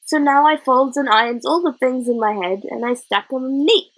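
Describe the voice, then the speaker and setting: A woman's voice: high-pitched, moderate pace, low-quality recording. A woman voicing a cheerful, literal small boy who used to be a rat, chattering earnestly in working-class grammar.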